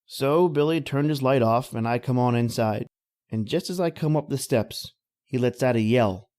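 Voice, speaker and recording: A man's voice: mid-range voice, moderate pace, a little mellow, lightly acted. A man playing a plain-talking, easygoing oil rig worker in an old-time radio horror drama, with a folksy, colloquial storytelling manner.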